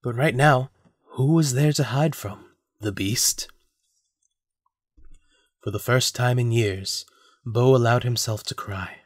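A man's voice: medium pitch, slow, pauses often, no echo, clean studio sound. A man narrating a scripted audio drama as a storyteller.

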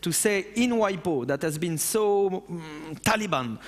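A man's voice: high-pitched, expressive, unhurried, long reverb tail. A man in his thirties with a French accent, answering audience questions on stage after a talk, passionate and blunt. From France. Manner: passionate, blunt, with casual swearing. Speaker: conference speaker. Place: large dark auditorium on stage.

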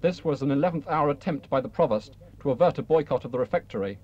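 A man's voice: medium pitch, expressive, fast, dry recording. A British man reading scripted narration for a newsreel. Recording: dull old newsreel film soundtrack. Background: loud music.

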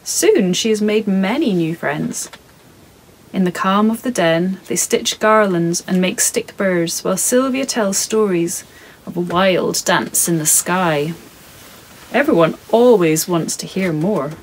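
Slow, breathy woman reading a story. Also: fairly low pitch, rough recording. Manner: soft, calm and measured storytelling. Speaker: author reading her picture book. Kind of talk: children's picture-book read-aloud. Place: indoor home studio.

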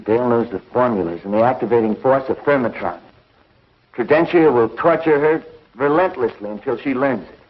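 An actor playing a determined young space hero in a 1950s television sci-fi serial. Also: male voice, mid-range voice, a little fast, lightly acted. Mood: grave. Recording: dull vintage TV soundtrack.

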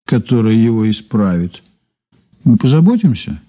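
An actor playing a composed investigator in a radio play, measured and dryly ironic. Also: male voice, fairly low pitch, big pitch swings, mellow. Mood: businesslike, courteous. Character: composed, wry male investigator recounting an old case. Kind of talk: radio drama dialogue and narration.

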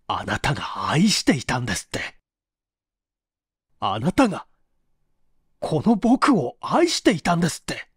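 A man acts out an anguished male secretary in an audiobook drama. He is bitter and dramatic, with slow delivery and wide swings of pitch. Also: wildly swooping pitch, slightly rough, no echo, studio quality, heavily acted. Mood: anguished disbelief.

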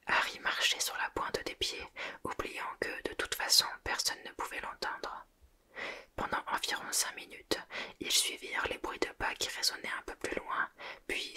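A speaker reading a novel aloud in a soft, unvoiced whisper and then reviewing it, close to the microphone. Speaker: book reader and reviewer.

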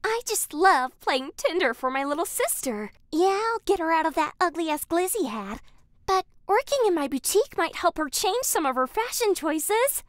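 A woman's voice: extremely high pitch, big pitch swings, moderate pace, no echo, voice pushed up. A woman voicing a perky, sweetly oblivious young fashion doll in a cartoon dub, bubbly and girlish.

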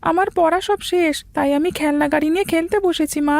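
A woman's voice: extremely high pitch, fairly even intonation, fast talker, gravelly, darkish tone, no echo. A woman voicing a playful, hungry little schoolboy, childlike and eager, in a cartoon story. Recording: background music bed.

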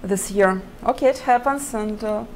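A woman's voice: medium pitch, darkish tone, a little hiss or hum, rough recording. Woman in her thirties, native Russian speaker. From Ukraine. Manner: hesitant, halting, laughs often between phrases. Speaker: chess player guest. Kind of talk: casual interview answers. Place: indoor press area before a sponsor backdrop. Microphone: desk microphone.

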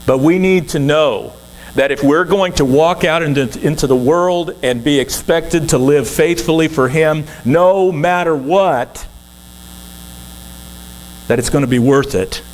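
A man's voice: on the high side, a little hiss or hum, low-quality recording. A man in his sixties, a guest minister, preaching an earnest, conversational sermon from the pulpit of a church.

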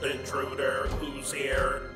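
A man voicing a blunt, literal combat robot that hates sin in a comedy fandub, speaking in monotone short statements. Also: mid-range voice, slow pace, close to an everyday voice. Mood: stern. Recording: echoey, with loud music.

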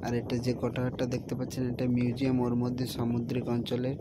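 Young male travel vlogger, mid pitch, little variation, recorded on a phone with clear outdoor noise. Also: monotone, fast talker. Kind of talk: casual travel vlog narration to viewers. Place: outdoors at a seaside tourist spot. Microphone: handheld phone.

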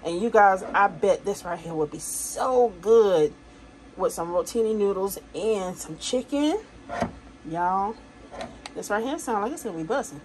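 A woman's voice: mid-range voice, animated, a little slow, darkish tone, a little hiss or hum, poor audio. A woman casually chatting to camera as she shows her grocery haul, talking to viewers as "you guys" and "y'all". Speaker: vlogger showing a grocery haul.

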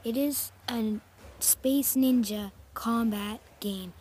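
A preteen child hosting a gaming video in a casual countdown style, slightly raspy and hoarse from being sick, with halting delivery and drawn-out emphasis. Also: mid-range voice, darkish tone, a little hiss or hum. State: getting over a sickness. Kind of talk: scripted-sounding countdown narration and casual vlog intro.

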